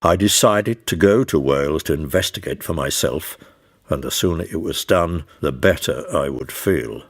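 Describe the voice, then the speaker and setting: A man narrates a period adventure in a deep voice. His delivery is formal and measured, with dramatic rises and falls in intonation. Prominent music runs behind him. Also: animated. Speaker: first-person narrator. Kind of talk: dramatic scripted audiobook narration. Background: music under the narration.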